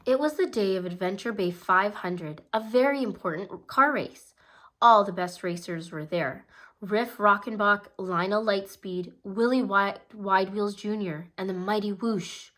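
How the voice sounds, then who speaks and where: A woman narrating a kids' picture book in a calm, gentle read-aloud voice of middle pitch. She keeps an easy, steady storytelling pace and now and then trips over a word and fixes it. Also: a little slow. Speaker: storybook reader.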